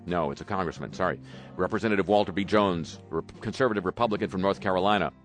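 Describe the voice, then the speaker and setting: A man's voice: sits low, fast, faint music behind. A man hosting a talk show, reading news items with wry, sarcastic asides. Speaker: host. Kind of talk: radio talk commentary.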